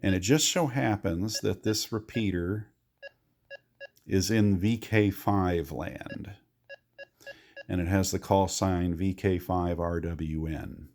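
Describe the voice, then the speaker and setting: A man's voice: low voice, fairly even intonation, slow pace, darkish tone, a little hiss or hum. A man giving a casual, folksy, hands-on tutorial as a radio hobbyist, explaining things conversationally.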